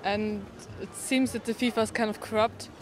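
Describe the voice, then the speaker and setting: A woman's voice: mid-range voice, fairly even intonation, normal speed. A young woman answering a street interviewer, giving her opinion earnestly with plenty of fillers. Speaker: passer-by interviewee. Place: city street outdoors. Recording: faint street noise outdoors.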